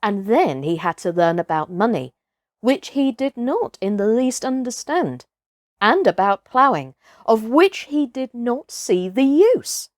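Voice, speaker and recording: A woman narrating a storybook for children, with expressive, careful and deliberate scripted delivery. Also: on the high side, dry recording, studio quality.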